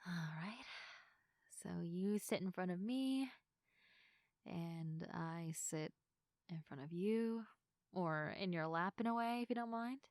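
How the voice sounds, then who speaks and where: A young woman playing a sleepy, affectionate girlfriend in an intimate sleep-aid roleplay, speaking softly and tenderly to the listener in a giggly, hesitant way. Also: fairly low pitch, expressive, takes it slowly, pauses often, no room sound, clean studio sound. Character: sleepy, affectionate girlfriend, gentle, playful and sincere. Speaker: audio roleplay performer.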